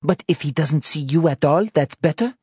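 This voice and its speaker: Male reader as worn-out angry mum, audiobook drama, raised pitch, animated, accusing. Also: normal speed, no echo, studio quality. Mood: weary, resentful. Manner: accusatory, exasperated. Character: exhausted, angry mother scolding her wayward teenage son. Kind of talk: audiobook character dialogue.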